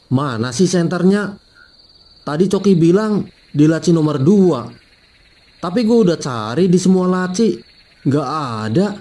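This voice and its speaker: A man's voice: sits high, expressive, a little slow, pauses often, warm tone. A man voicing a brash young male college student in a cartoon, talking in casual slangy banter. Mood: searching. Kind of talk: cartoon voice acting.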